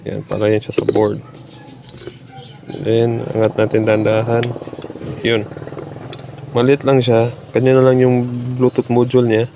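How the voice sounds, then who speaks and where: A man with a Filipino accent narrating a hands-on repair tutorial casually and haltingly as he works, mixing in English technical terms. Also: mid-range voice, creaky, dark tone. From Philippines. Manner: halting, talking while working, mixing Tagalog with English terms. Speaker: repair technician demonstrating a fix. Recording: dull lo-fi audio, faint background noise.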